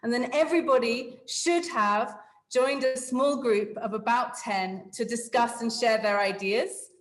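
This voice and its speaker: A woman's voice: a little high, fairly even intonation, measured pace, reverberant, low-quality recording. English woman hosting a video call. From England. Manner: apologetic, explains step by step with many fillers. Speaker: webinar facilitator. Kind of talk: hosting an online meeting.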